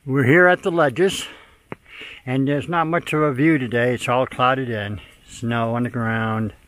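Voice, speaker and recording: An older man casually narrating his hike on a snowy forest trail, talking into a handheld camera with relaxed, rambling pauses. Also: mid-range voice. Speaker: hiker vlogging a trail. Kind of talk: casual narration while hiking. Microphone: handheld camera at arm's length.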